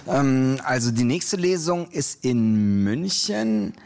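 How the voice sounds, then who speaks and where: A man's voice: mid-range voice, animated, takes it slowly, a little mellow. A man in his forties, raised in Iran, talking about his book in an interview, thoughtful and hesitant with many fillers. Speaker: comic artist and author.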